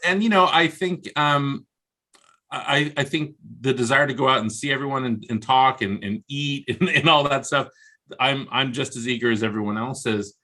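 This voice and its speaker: Wry American man, bright voice. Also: medium pitch, moderate pace. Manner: wry, comically exaggerating, self-deprecating. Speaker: guest author. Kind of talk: casual video interview. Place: indoors on a video call. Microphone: video call.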